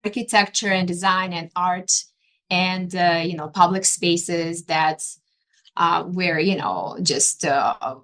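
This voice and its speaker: A woman's voice: lowish voice, slow, fairly bright tone. Hesitant woman from Ukraine, filler-heavy. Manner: hesitant, many fillers and restarts. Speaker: interior designer and artist. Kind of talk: conversational interview.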